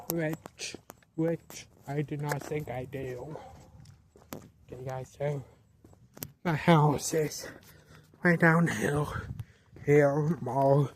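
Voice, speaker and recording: An eighteen-year-old man vlogging outdoors at night, talking to a handheld camera, slow and repetitive, often restarting his sentences. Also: a little high, slight background noise. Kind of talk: casual vlog narration.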